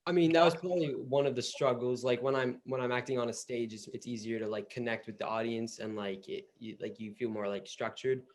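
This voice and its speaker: A man's voice: medium pitch, steady tone, fairly quick, few pauses, slight background noise. A teenage boy actor talking casually on a video call, peppering his speech with "like". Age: teen. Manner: casual, many "like"s. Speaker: young actor.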